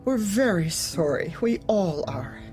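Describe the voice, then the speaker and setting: A woman's voice: on the low side, lively intonation, measured pace, over music. A woman playing a manipulative, officious corporate manager in a darkly comic audio drama, speaking with bland politeness and veiled menace. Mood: falsely sympathetic. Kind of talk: audio drama acting, dark comedy.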